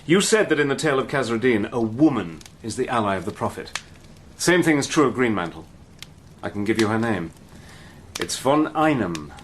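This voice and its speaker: An actor playing a resourceful officer who also narrates, brisk and clipped, in a radio drama. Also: male voice, medium pitch, expressive, a little hiss or hum, natural voice. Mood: earnest.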